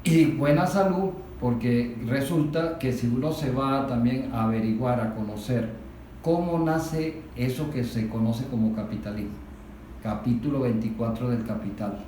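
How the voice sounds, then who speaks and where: A Venezuelan man in a political discussion, explaining things didactically and deliberately, with emphatic points and rhetorical questions. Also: mid-range voice, warm tone. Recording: very echoey room, distant mic, faint noise.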